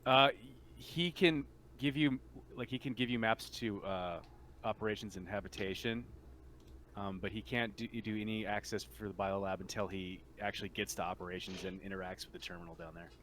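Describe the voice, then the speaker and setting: A man's voice: fairly low pitch, fairly quick, a bit bright, slight background noise. A man acting as game master, casually narrating and refereeing a tabletop roleplaying game.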